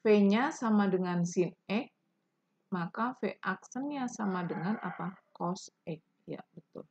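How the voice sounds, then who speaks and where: A woman's voice: mid-range voice, measured pace, lo-fi sound, background noise. A woman teaching a math lesson, explaining each step patiently and answering imagined student questions.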